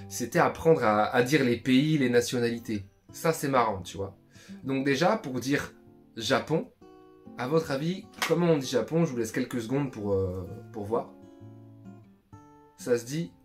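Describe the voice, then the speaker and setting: A man at a middle pitch talks viewers through a beginner language lesson in a loose, slangy way, circling back and restarting his sentences. Faint guitar plays softly behind him. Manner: chatty, slangy and informal, repeats and restarts. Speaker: YouTube Japanese tutor.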